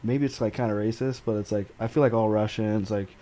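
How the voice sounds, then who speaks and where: A man's voice: on the low side, steady tone, fairly quick, warm tone. An American man hosting a podcast, asking questions in a casual, slangy, informal way. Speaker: podcast host, interviewer. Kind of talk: casual interview chat.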